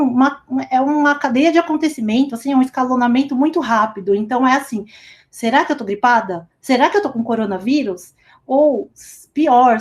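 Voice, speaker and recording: A young woman giving a casual talk over a remote call, chatty and full of fillers as she tells a personal story. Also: fairly high pitch. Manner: chatty, lots of fillers like "sabe" and "tipo". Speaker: speaker at a company talk. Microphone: remote call recording.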